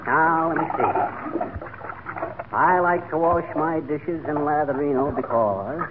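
An actor playing a bumbling, eager middle-aged American husband in a 1940s radio comedy, earnest and folksy. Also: male voice, a little high, unhurried, close to an everyday voice. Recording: tinny, very noisy 1940s radio recording.

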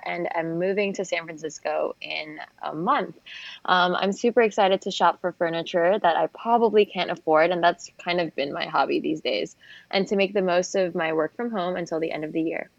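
A woman's voice: on the low side, normal speed, vocal fry, dry recording. Young woman with dry muffled sound. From Philippines. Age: 20s. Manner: dry, lightly sarcastic. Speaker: podcast co-host. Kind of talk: podcast intro, partly read.

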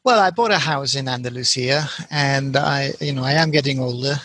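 Gravelly, hesitant man, interview guest. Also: medium pitch, normal speed, fairly bright tone, dry recording. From Colombia and England. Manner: hesitant, many repeated words. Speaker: guest author. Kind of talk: casual interview answers.

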